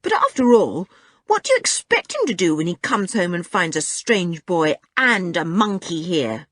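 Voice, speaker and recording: A woman's voice: on the low side, big pitch swings, normal speed, no echo, studio quality. A woman voicing a bold, hot-tempered girl, blunt and indignant, in a children's story. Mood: angry. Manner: indignant, snappy. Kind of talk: children's audiobook character voice.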